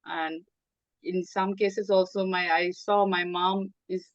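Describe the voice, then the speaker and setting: A woman from Bangladesh, a native Bengali speaker, talking as a guest in an interview, hesitant with many pauses and fillers. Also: fairly low pitch, fairly even intonation, dry recording, lo-fi sound. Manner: hesitant, many ums, halting phrasing. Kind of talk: interview conversation.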